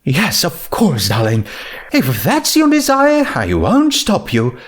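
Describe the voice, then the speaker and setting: A man's voice: on the high side, huge pitch swings, slow, pitched above the performer's own voice, exaggerated voice. A man voicing a dramatic, genteel female pony shopkeeper with a theatrical manner in a story reading. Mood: hesitant, delicate. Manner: theatrical, says "darling". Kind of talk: fan fiction character reading.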